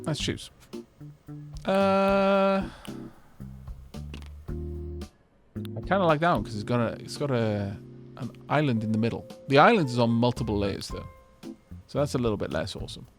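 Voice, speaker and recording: A man streaming a game, bantering with chat in an animated, rambling, self-deprecating way, with faint music behind. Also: mid-range voice, unhurried, long pauses. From Wales.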